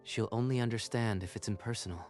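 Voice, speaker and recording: A man's voice: lowish voice, quick pace, darkish tone, soft background music, pitched above the performer's own voice, natural voice. A man voicing a cold, calculating male company owner who speaks in short, impersonal lines. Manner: clipped, impersonal.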